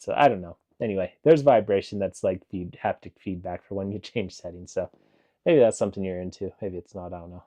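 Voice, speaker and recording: A man casually reviewing a wireless mic in a relaxed, rambling way, with lots of "um" and "like", speaking into a clip-on mic plugged into his phone. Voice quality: low voice. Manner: rambling, filler-heavy, relaxed. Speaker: tech reviewer.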